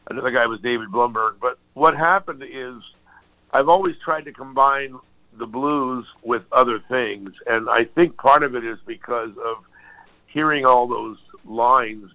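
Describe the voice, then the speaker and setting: A man's voice: mid-range voice, unhurried. Older male guitarist from Hawaii, rambling interview guest, muffled lo-fi recording. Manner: rambling, frequent restarts and apologies. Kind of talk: relaxed interview storytelling. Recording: dull lo-fi sound.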